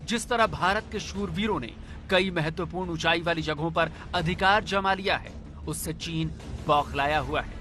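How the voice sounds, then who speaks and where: A man's voice: on the high side, normal speed, pushed and bright, faint music behind. A man delivering a scripted news report as a reporter, in an emphatic, dramatic way.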